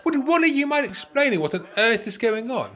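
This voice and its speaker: Radio play: worried, querulous old fellow male actor, very high for a man, swooping exasperated delivery. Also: sing-song, brisk, a bit bright, heavily acted. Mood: puzzled, anxious. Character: anxious, fretful older male care-home resident, reluctant sidekick. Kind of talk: amateur radio play acting.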